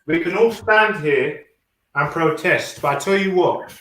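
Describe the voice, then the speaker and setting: A man's voice: a little high, measured pace, bright, forceful tone. A man chatting casually in slangy, rambling talk that often halts and starts over. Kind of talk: casual chat. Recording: very echoey, roomy sound.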